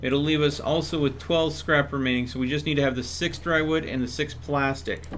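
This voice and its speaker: A man's voice: fairly high pitch, fairly even intonation, brisk, fairly bright tone, slight background noise. A man hosting a livestream, casually talking through his game step by step and chatting with viewers. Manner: thinks aloud step by step.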